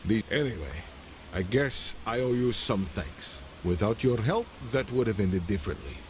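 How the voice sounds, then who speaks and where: An actor playing an irritable, devout desert-born guard in a game who hurls theatrical insults. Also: male voice, fairly low pitch, expressive, moderate pace, slight background noise, close to an everyday voice. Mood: grudging. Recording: game audio under music.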